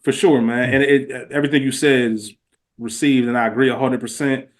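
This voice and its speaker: Fast-talking man, casual podcast chat. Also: mid-range voice, fairly even intonation. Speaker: podcast co-host. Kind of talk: casual conversation.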